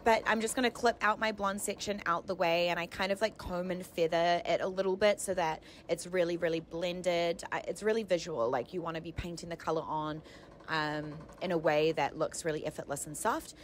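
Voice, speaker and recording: A young woman with a fairly low voice talks through a technique in an informal, chatty tutorial style. She explains each step casually as a voice-over, with clear ambient noise behind her. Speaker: hair colourist giving a tutorial.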